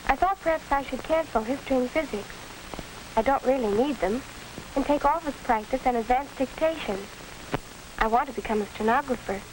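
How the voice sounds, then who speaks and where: A young woman playing a polite, earnest high school girl in a 1940s educational film, speaking neatly and properly. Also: brisk, natural voice. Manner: neat, proper, scripted delivery. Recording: noisy, scratchy 1940s film soundtrack.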